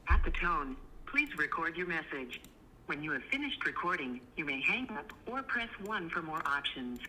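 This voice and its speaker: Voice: mid-range voice, moderate pace, a little hiss or hum, rough recording. An automated voicemail system reading out a phone number and recording instructions. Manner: even, scripted system announcement.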